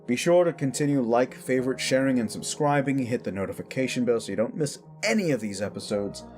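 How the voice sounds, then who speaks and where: A man's voice: mid-range voice, lively intonation, a little fast, darkish tone, soft background music. A man hosting his own audio channel, chatting in a rambling, enthusiastic, casual outro. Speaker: audio creator and host.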